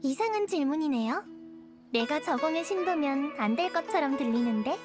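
A young student in an anime game. The voice is high and youthful, with polite speech that carries a pointed edge. Faint music is underneath. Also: female voice, animated, normal speed. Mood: accusing. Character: polite youngster student turning coolly accusing.

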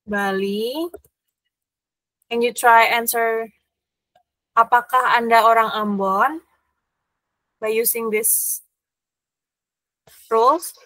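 Young woman tutor, Indonesian accent, higher voice, slow and careful, dry video-call sound. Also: steady tone, no room sound, poor audio. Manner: gentle, hesitant English with Indonesian fillers. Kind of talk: online language lesson. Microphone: laptop video call.

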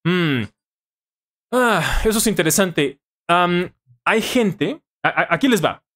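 A Mexican man in his thirties with a slightly high voice talks casually as a live-stream host. He is informal and conversational, often doubling phrases for emphasis, and speaks close into a studio mic in a small home room. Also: lively intonation, slow, a bit bright. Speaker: live-stream host, language-learning tutor. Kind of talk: casual live chat answering viewer questions. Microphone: studio microphone on boom arm, close.

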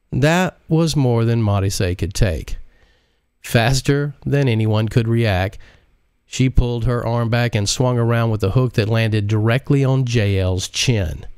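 Male author narrating his book; low, steady read, dry close mic. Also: dry recording. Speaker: author reading his own novel. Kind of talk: live-stream audiobook reading.

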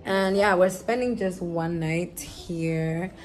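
A woman's voice: on the low side, slow pace. A young woman from Ghana chatting casually to her phone camera in a travel vlog, loose and a little tired, with music underneath. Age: young adult. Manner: chatty, laughing, tired morning talk. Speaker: vlogger. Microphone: phone camera held close.

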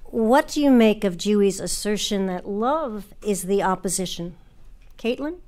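A woman's voice: mid-range voice, lively intonation, a little slow, a little mellow. A woman host presenting in a scripted style, introducing and questioning guests.

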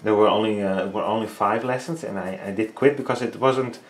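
A man's voice: fairly low pitch, fairly even intonation, a little fast, slight background noise. A man in his thirties with a Dutch accent, speaking English as a third language while painting, hesitant and full of fillers. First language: Dutch and Frisian. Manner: hesitant, many fillers, searching for English words. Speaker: painting tutorial host. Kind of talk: casual studio vlog while painting. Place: small home art studio, indoors. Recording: echoey room.

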